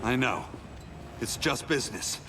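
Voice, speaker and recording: An actor playing a weary, guilt-ridden superhero in a video game, wry and earnest. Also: male voice, medium pitch, animated, measured pace, heavy background noise, natural voice. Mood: wry, urgent. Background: loud dramatic music.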